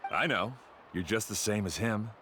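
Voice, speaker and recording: An actor playing an arrogant, dismissive guide-for-hire in a video game, with a haughty, scornful manner. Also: male voice, lowish voice, normal speed, sharp tone, slight background noise. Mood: smug. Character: arrogant, dismissive, mercenary male island guide.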